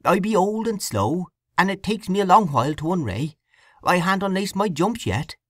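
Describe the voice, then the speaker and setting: A woman's voice: fairly low pitch, animated, moderate pace, no room sound, pitched above the performer's own voice. Woman doing an old country widow, rural English dialect, homely, soothing, lilting, dry sound.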